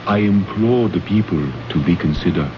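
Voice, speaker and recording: Man playing a dignified, low-voiced stern alien king. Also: lightly acted. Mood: regretful. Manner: formal, grave, measured wording. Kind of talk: old-time radio drama acting. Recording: noisy, muffled old radio recording.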